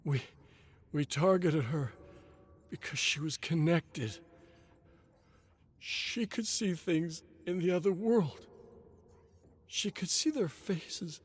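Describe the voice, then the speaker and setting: An anguished man, acted out by a male narrator confesses in a dramatic audiobook. His voice sits high, breaking into halting stammers. He is drugged, frightened and weighed down by guilt. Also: breathy edge. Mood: pained, pleading. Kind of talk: dramatic audiobook character acting.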